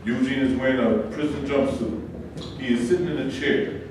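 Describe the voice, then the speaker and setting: A man's voice: mid-range voice, steady tone, normal speed, natural voice. A man reading scripted stage directions plainly at a staged play reading. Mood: neutral narration. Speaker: stage-direction reader and prison guard. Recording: very echoey room, distant, noisy.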